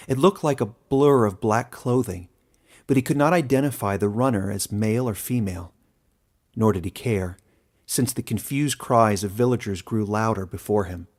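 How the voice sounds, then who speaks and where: A man narrating a scripted fantasy story as its author and reader. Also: mid-range voice.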